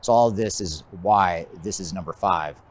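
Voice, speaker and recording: An American man giving a measured, methodical explanatory presentation as a business consultant, his phrases often trailing off at the end. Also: sits low, a little hiss or hum. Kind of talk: explanatory presentation of a trends list.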